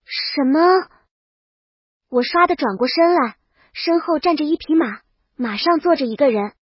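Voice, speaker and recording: A woman's voice: high-pitched, expressive, normal speed, no room sound, muffled recording. A woman reading a comic first-person story as a scripted audiobook narration.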